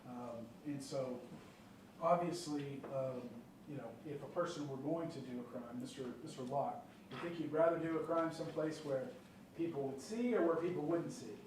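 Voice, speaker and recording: A man's voice: a little high, normal speed. Man explaining law to a jury, echoey courtroom. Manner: conversational, many fillers and check-ins like "okay?". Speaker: prosecutor questioning jury panel. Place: large courtroom. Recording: echoey courtroom picked up from far off, light noise.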